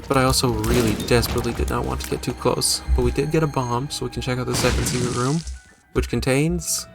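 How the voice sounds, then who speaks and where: A man's voice: medium pitch, steady tone, quick pace, creaky. Casual man commentating over game music. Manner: self-deprecating, joking, mildly profane. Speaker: gaming video host.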